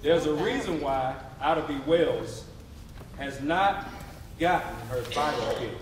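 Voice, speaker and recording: A man's voice: a little high, measured pace, slight background noise. Man orating in a very echoey hall. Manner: emphatic, declamatory, preacher-like call and response. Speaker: stage narrator. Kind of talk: dramatic readers theatre oration. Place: theatre stage before a responding audience. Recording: very echoey live hall, distant mic.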